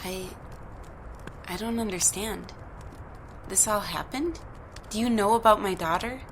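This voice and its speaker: A woman's voice: mid-range voice, unhurried, slight background noise, lightly acted. A woman playing a skeptical, bewildered mother in an audio drama, with a hesitant, incredulous way of talking. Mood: bewildered, doubtful. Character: skeptical, bewildered mother who makes dolls.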